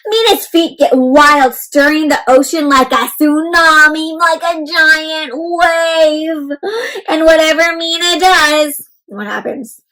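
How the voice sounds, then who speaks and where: A high-pitched woman storyteller reads a picture book for young children. She is expressive, often turning to her listeners with questions and punctuating the tale with exclamations and playful sound effects. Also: slow, rough recording. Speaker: children's read-aloud storyteller. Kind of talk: interactive picture-book reading for kids.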